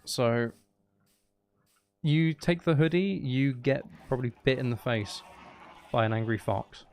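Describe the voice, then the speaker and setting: Gravelly man bantering on a game stream. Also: mid-range voice, a little slow, darkish tone, slight background noise. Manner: playful mock-threatening banter with viewers. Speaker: streamer, host. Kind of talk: casual gameplay livestream chat.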